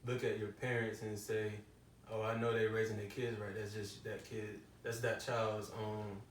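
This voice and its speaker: A young man answering questions in a casual group chat, thoughtful and halting. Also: fairly low pitch, flat delivery. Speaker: guest. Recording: echoey room, distant mic, faint noise.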